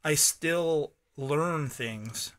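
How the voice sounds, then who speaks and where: A man in his late thirties co-hosting a podcast, chatting casually and rambling through halting restarts. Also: fairly high pitch, fairly bright tone. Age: 30s. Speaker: podcast co-host. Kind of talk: casual chat.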